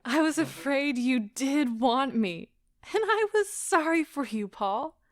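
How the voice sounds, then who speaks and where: An actress performs a wounded, bitterly defiant wife in an audio drama. Her high voice moves slowly and deliberately, heavy with impassioned theatrical feeling. The sound is close and dry. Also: expressive, dry recording. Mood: brittle, hurt.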